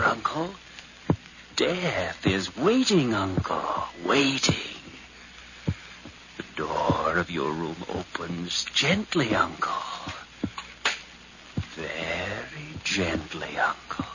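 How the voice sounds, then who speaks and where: Anxious murderous schemer, low register, slow hushed brooding, 1950s radio drama, noisy recording. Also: male voice, big pitch swings, muffled recording, heavily acted. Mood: menacing, intense. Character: anxious, murderous nephew plotting by night.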